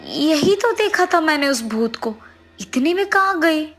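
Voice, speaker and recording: A woman's voice: sits high, lively intonation, measured pace, fairly bright tone, faint music behind. A woman voicing a nervous, deferential male house servant who insists anxiously in a cartoon story. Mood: nervous, puzzled.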